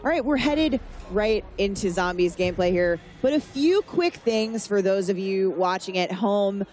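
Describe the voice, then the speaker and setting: A woman's voice: medium pitch, animated, moderate pace, a little mellow. A woman presenting a live gaming stream as host, conversational and upbeat. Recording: live stream with faint ambient noise.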